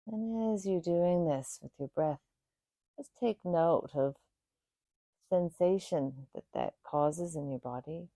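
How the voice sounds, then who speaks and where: Slow, low, mellow woman guiding meditation. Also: dry recording. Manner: soothing, unhurried, pausing between short phrases. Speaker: yoga nidra meditation guide. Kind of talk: guided meditation.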